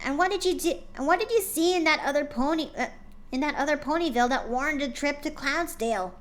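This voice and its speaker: A woman's voice: high voice, quick pace, fairly bright tone, voice pushed up. A woman reading as a blunt tomboy pony. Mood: demanding. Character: brash, blunt young female pegasus pony. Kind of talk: fanfic reading aloud.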